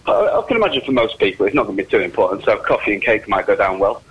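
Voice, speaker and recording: A man's voice: lowish voice, rapid-fire, slightly rough. A middle-aged man, giving easygoing, informal interview answers and tips over a phone line. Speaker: firefighter, phone-in guest. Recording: muffled telephone line into radio.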